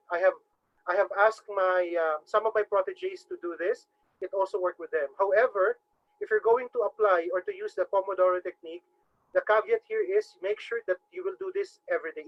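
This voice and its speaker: High-voiced man with Filipino accent. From Philippines. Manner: formal, addresses the audience as ladies and gentlemen. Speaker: webinar trainer. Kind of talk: instructional webinar talk. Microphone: video call microphone. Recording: dull lo-fi webinar audio.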